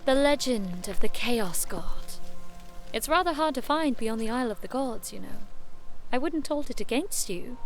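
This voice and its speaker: A woman's voice: fairly high pitch, expressive, normal speed, soft background music. A woman playing a haughty, playful young noblewoman in an audio drama, speaking in an eloquent, theatrical, teasing way. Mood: smug.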